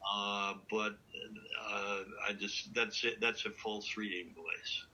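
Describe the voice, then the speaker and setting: A man with a deep, resonant voice who speaks slowly and deliberately, pausing often on his uhs. His tone is bright and forceful even at that low pitch. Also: low-quality recording. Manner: slow, halting, many uhs. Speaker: poet, guest. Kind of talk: poetry reading and interview.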